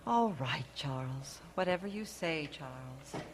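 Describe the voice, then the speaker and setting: A woman's voice: deep voice, animated, a little slow, slight background noise. A woman playing a submissive, unhappy wife in an old-time radio drama. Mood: resigned, plaintive.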